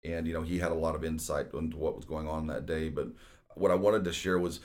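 Man, forties, deep low voice, conversational host, lots of uhs. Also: fairly even intonation, fairly quick, a little mellow. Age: 40s. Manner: conversational, many fillers. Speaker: real estate podcast host. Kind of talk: casual solo talk.

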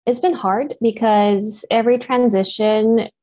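A woman in her thirties whose first language is Japanese speaks about her life in a reflective interview. She talks at a steady, considered pace and leans on "you know" as she gathers her thoughts. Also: a little high, a little slow. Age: 30s. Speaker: actor and guest.

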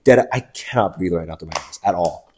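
A man's voice: sits low, lively intonation, a little fast, background noise. A young man with a stuffed-up nose from allergies, co-hosting a casual podcast chat. He rambles, laughs often and restarts his sentences. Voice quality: nasal. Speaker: podcast co-host.

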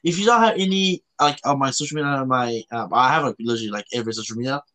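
A man from Canada hosting a casual podcast, talking in a halting way and often rephrasing himself. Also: medium pitch, pushed and bright. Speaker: podcast host.

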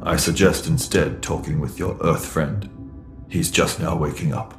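Sci-fi audio drama: stern, protective alien, solemn and terse, deep and monotone. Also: male voice, a little slow, soft background music. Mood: solemn, sympathetic. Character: Throg, grave lawful alien Ferran, secretly protective.

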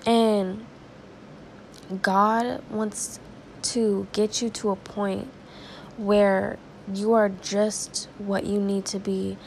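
Woman podcast preacher, stuffy and raspy from crying; gravelly, slow, earnest delivery. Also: medium pitch, flat delivery, darkish tone, a little hiss or hum, poor audio. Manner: earnest, conversational, slow and prayerful. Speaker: devotional podcast host. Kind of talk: informal devotional talk and prayer.

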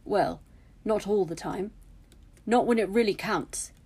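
A woman's voice: medium pitch, a little slow, a little mellow, slightly rough. A woman voicing a smug young male wizard with a drawling, needling manner. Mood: dismissive.

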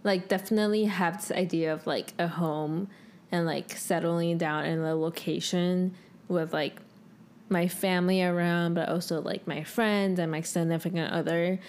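A woman's voice: on the low side, fairly even intonation, moderate pace, a little mellow, a little hiss or hum. A young adult woman co-hosting a podcast in casual chat, thinking aloud in informal speech peppered with "like". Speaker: podcast co-host.

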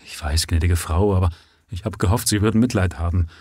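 A man's voice: sits low, brisk, mellow, husky, no echo. A man voicing a gaunt, pale widowed father just out of prison, pleading in a trembling, urgent voice.

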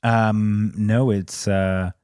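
A man's voice: lowish voice, level tone, extremely slow, a little mellow, smooth, no echo, studio quality. A man in a casual film discussion as a podcast host, talking hesitantly and often searching for his words.

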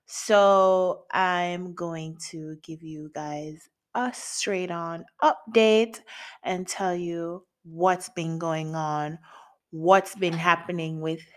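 Woman, late twenties, solo podcast host; unhurried, candid, playful slang, laughs a lot. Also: fairly low pitch, steady tone. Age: late 20s. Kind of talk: casual solo podcast chat. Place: home in Japan.

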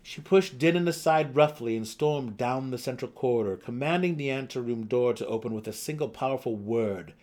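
A man giving a dramatic, theatrical reading of a fantasy novel as an audiobook narrator, with measured phrasing. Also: mid-range voice, a little mellow.

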